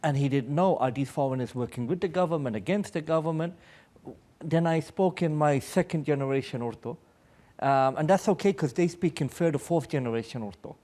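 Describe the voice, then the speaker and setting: A man's voice: a little high, brisk, warm tone. A Pakistani-born American man speaking as an aid worker at a public panel, telling personal anecdotes in an earnest, emphatic way.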